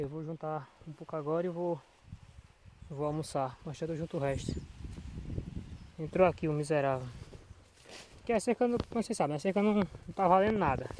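A Brazilian man with a Northeastern accent, a little hoarse from a cold, chatting casually for a farm vlog in a folksy, repetitive way. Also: on the high side, a little mellow. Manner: folksy, repeats himself, frequent check-in tags. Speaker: small farmer vlogging from his field. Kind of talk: casual handheld vlog chat. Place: outdoors in an open cornfield. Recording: noisy outdoor handheld recording.

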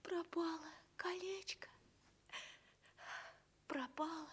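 An actress playing an earnest, wistful little village girl in a radio fairy tale, plaintive and given to breathy half-whispers. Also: very high voice, fairly even intonation, takes it slowly. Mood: distressed, sad.

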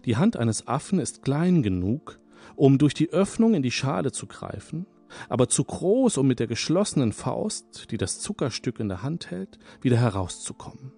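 A man leading a guided hypnosis session, speaking in a calm, soothing, repetitive manner. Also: mid-range voice, gravelly, slightly rough. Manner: slow, soothing, hypnotic, repeats phrases. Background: soft background music.

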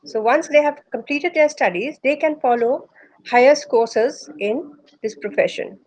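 A woman in her forties with an Indian English accent, answering interview questions over a video call in a measured way, pausing often with "uh". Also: sits high, a little mellow, poor audio. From Northeast India. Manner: measured, with frequent "uh" pauses. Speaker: embryologist guest. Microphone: video call webcam.

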